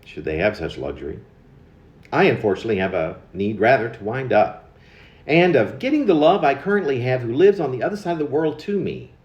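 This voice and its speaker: A muffled, roomy recording of a man in his fifties reciting autobiographical poetry. His delivery is halting and very animated in pitch, with breaks mid-phrase and weight on certain words. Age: 50s. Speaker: poet. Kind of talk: reading own confessional autobiographical poem aloud. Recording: dull lo-fi recording in echoey room.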